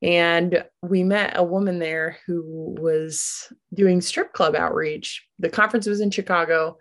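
A woman in her late twenties from Michigan, a guest on a podcast, talking earnestly with light laughs and pauses. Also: on the low side, fairly even intonation. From Grand Rapids, USA. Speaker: podcast guest, business founder. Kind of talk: casual interview.

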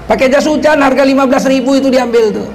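A man's voice: extremely high pitch, fairly even intonation, fast talker, a faint crowd, a little hiss or hum. A male Islamic preacher, giving a religious lecture laced with sarcastic political commentary and rhetorical questions to the audience. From North Sumatra, Indonesia.

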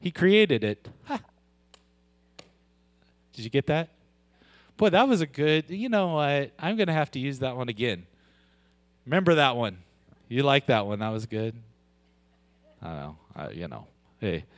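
A man preaching a sermon with a loud voice, moving from joking, conversational asides to slow, weighty emphasis on scripture. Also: medium pitch, sing-song, dry recording. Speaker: pastor.

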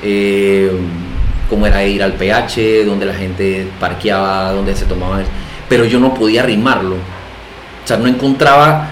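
A middle-aged man with a Panamanian accent, talking casually as an interview guest, animated and self-deprecating, repeating phrases for emphasis. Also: lowish voice, unhurried. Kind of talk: casual interview conversation, storytelling. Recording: echoey room, faint background noise.

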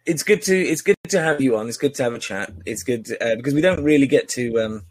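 A man's voice: mid-range voice, fast talker, darkish tone, no echo. A man hosting a casual podcast interview, chatty and teasing, with many fillers and restarts. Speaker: podcast host.

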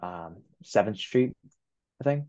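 A young man from Japan who speaks English as a second language, with a fairly low voice. He answers interview questions in an easygoing way, filling his pauses with "um" and "you know". The recording sounds muffled and lo-fi. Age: 20s. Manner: relaxed, many fillers like "you know" and "um". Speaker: guest. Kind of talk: casual interview.

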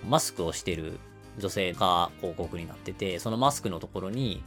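A man's voice: sits low, lively intonation, normal speed, fairly bright tone, soft background music. A man co-hosting a casual chat, explaining things colloquially through everyday examples. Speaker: podcast co-host.

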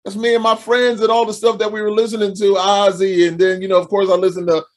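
Laid-back man host, high voice. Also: level tone, quick pace. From Charleston, South Carolina. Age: 50s. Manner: warm affirmations, laughs along. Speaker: podcast host. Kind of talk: casual interview.

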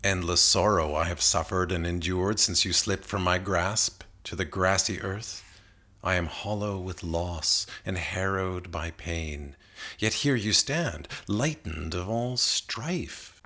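Male reader as sorrowful male dreamer, very deep voice, slow pace, mournful formal verse, yearning, storybook poem. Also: pushed and bright, heavily acted.

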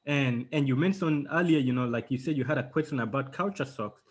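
Husky-voiced man telling his story. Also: mid-range voice, fairly quick. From Uganda. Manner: storytelling, many fillers and restarts. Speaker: guest, author. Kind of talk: casual interview.

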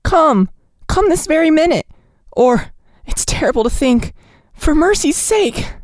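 Frantic young wife, high and pleading, close dry sound, read by a woman. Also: animated, a little slow, dark tone, no echo. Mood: desperate pleading. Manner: sobbing, begging, insistent.

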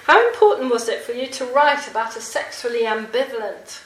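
A woman's voice: a little high, expressive, a little fast. Woman asking interview questions kinda hesitantly, far from the mic. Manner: hesitant, with fillers, warmly appreciative. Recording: roomy, from off-mic with faint noise.

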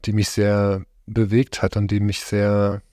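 A middle-aged man from Germany, interviewed about his life, speaks in a relaxed, conversational way, often hesitating and searching for his words. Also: lowish voice, measured pace, no echo, clean studio sound. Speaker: interviewee, farm host.

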